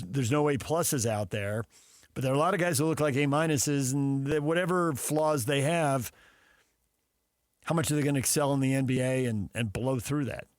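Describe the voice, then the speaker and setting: Guy doing sports radio chat, opinionated, mid-pitched voice, really dry studio sound. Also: no echo. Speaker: sports talk radio host. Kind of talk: casual sports discussion.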